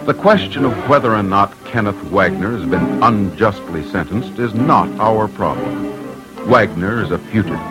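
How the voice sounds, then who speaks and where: A man hosting an old-time radio crime documentary, reading scripted narration in an emphatic, deliberate, dramatic way. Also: lowish voice, animated, rough recording. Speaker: host and reporter of a crime documentary radio show. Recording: old radio recording with music.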